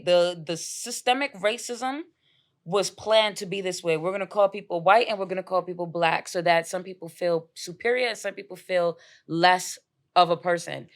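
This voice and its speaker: A young woman from Haiti talking in a casual podcast discussion, animated and quick to laugh, arguing her point with conviction. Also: medium pitch. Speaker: guest.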